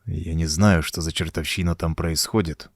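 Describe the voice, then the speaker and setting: A man's voice: deep voice, fairly even intonation, moderate pace, vocal fry, no room sound, studio quality, pitched below the performer's own voice. A man voicing a tough, protective rancher hero, terse and commanding, in an audiobook. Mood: urgent, hushed.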